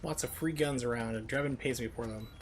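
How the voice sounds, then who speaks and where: A man's voice: medium pitch, a little fast, a little hiss or hum. A man streaming a video game with casual, chatty live commentary, explaining mechanics in asides.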